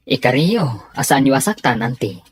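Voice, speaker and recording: Respectful young nephew, Filipino accent, hesitant, polite, rough-edged medium voice, radio drama. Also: male voice, mid-range voice, sing-song, normal speed, dry recording. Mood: reluctant, worried. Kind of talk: Ilocano radio drama acting.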